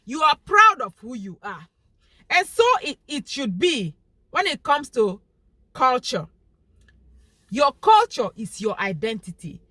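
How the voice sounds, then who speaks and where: A woman's voice: sits high, big pitch swings, measured pace, long pauses, sharp tone, no echo. A young woman with a Nigerian Igbo accent talking straight to camera in an earnest, pleading vlog monologue. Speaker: vlogger.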